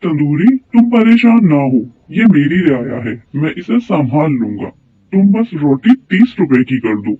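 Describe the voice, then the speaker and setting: A man playing a calm, reassuring and commanding king in an Urdu moral cartoon story, measured and gracious. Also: on the high side, husky, heavily acted. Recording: phone-like thin sound with music behind.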